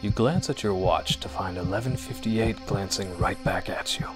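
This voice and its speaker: Male storyteller for spooky radio drama, somewhat deep, suspenseful scripted read with music underneath. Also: normal speed, low-quality recording. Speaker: narrator. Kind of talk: scripted horror narration, second person, old-time radio drama manner.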